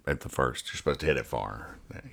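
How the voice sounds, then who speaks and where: A man's voice: very deep voice, fast talker, gravelly, fairly bright tone, a little hiss or hum. A man hosting a casual comedy podcast, reading out and explaining things in a rambling, mock-serious way. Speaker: host of a comics-reading podcast.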